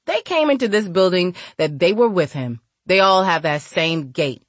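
A woman's voice: fairly low pitch, lively intonation, normal speed, dry recording. Streetwise older woman acted by a female reader, icy and stern, slangy audiobook character, dry close recording. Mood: sly. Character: cold, tough, streetwise old woman.

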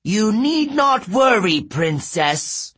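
Man putting on a smug, high-pitched fiery giant villain. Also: very animated, slow, a bit bright, full character voice. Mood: smug boasting. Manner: condescending, boastful. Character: fiery giant counselor, smug, menacing, smooth-talking villain.

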